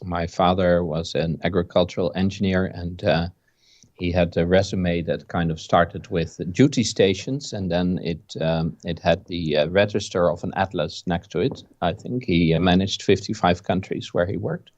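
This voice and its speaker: Guest, Dutch man, about fifty, very low voice, calm storyteller, dull and dry call audio. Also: normal speed, no echo. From Netherlands. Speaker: interview guest, game designer. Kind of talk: casual video-call interview.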